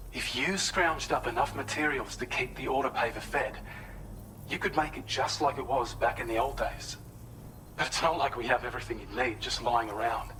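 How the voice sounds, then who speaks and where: An actor playing an earnest, helpful male researcher in a video game, giving a polite, measured, explanatory briefing. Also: medium pitch, bright, forceful tone, a little hiss or hum, close to an everyday voice, low-quality recording.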